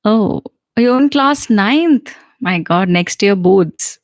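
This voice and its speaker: A woman's voice: medium pitch, expressive, slow, no room sound. Chatty Indian woman answering viewers live. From central India. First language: Punjabi. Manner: chatty, answers viewers' comments with frequent fillers and laughs. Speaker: host and soft skills trainer. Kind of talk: live stream Q&A.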